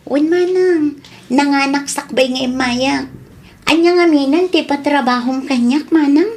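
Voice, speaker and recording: A woman's voice: high voice, unhurried, darkish tone. A woman with an Ilocano accent playing a humble, polite young working student in a comedy radio drama. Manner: polite, deferential.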